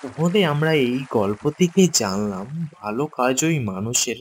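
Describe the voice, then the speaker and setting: A man's voice: medium pitch, animated, a little slow, slight background noise. A man narrating a scripted ghost story for children as a storyteller.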